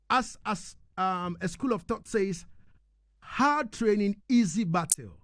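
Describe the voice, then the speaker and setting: A man's voice: sits high, no echo. Male radio host, dry close sound. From Ghana. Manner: deliberate, repeating words for emphasis. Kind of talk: live radio interview hosting.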